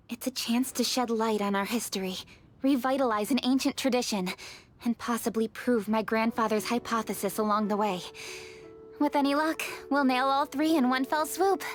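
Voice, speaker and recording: A woman's voice: fairly high pitch, moderate pace, lightly acted. An actress playing an earnest, composed young noblewoman official in a video game. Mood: earnest, thoughtful. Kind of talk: scripted video game voice acting.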